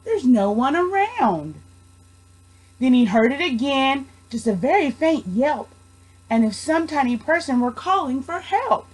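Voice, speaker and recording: A young woman reading a children's storybook aloud in an expressive storytelling style. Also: sits high, normal speed, low-quality recording. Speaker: storybook reader, education student.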